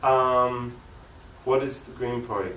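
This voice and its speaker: A man from Long Island, New York, giving conversational, explanatory interview answers with halting pauses. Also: mid-range voice, steady tone, fairly bright tone. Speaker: interview guest, political activist. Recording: roomy, distant mic, faint noise.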